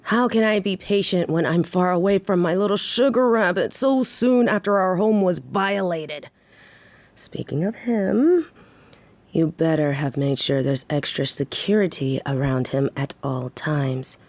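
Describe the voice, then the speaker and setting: A woman's voice: fairly low pitch, measured pace, darkish tone, no echo. A woman playing a menacing, short-tempered yet protective female mafia boss in a scripted audio roleplay, with a theatrical, brooding delivery. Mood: impatient.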